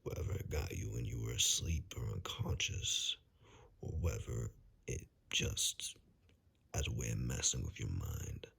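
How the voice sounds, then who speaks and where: A man acts out a cautious male forest guide in an ASMR roleplay. His voice is extremely low and very breathy, full of heavy fry. He speaks in hushed, halting phrases, gently reassuring a lost stranger. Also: expressive, heavily acted. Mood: grave, explanatory.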